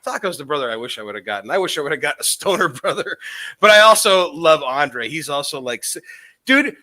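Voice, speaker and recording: A man's voice: fairly high pitch, animated, brisk, bright, forceful tone. A man co-hosting a podcast, intoxicated, rambling casually with lots of swearing. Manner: profane, meandering, enthusiastic. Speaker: podcast co-host.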